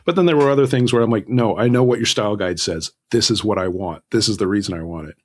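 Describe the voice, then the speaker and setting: A man with a fairly low voice speaks as a guest author in a relaxed interview. He tells things conversationally, with self-deprecating asides and little quoted exchanges with himself. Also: fast. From Southern California.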